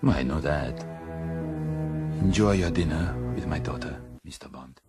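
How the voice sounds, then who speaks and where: A solemn, anguished crime-boss father in a radio drama. The voice sits very low and moves in measured, formal phrases. Loud orchestral music runs beneath it. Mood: composed, courteous.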